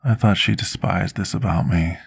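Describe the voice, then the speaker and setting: A man playing a scarred, remorseful potion master in an intimate ASMR roleplay, speaking formally and deliberately like someone confessing his past. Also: deep voice, vocal fry, husky, no echo, full character voice. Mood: reflective. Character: scarred male potion master, devoted, remorseful, soft-spoken servant.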